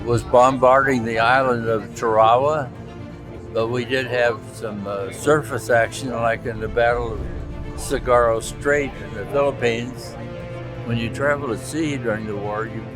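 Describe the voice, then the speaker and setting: An older man recalls his Navy service in a plain, hesitant way. His pitch is mid-range. Music plays under his voice. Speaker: navy veteran. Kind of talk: interview testimony. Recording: music behind.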